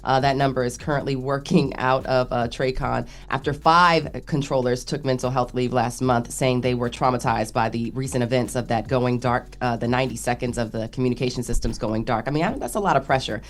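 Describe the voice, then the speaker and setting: Low-voiced American woman reporting news, haltingly. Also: steady tone. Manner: halting, stumbling over words and restarting. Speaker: news reporter on a radio morning show. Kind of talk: news report with conversational asides.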